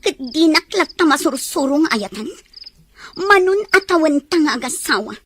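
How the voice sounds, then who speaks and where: Woman doing a pleading young wife, high voice jumping around, background hiss. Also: lively intonation, normal speed, a bit bright. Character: pleading young wife begging her cold husband. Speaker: wife in an audio drama.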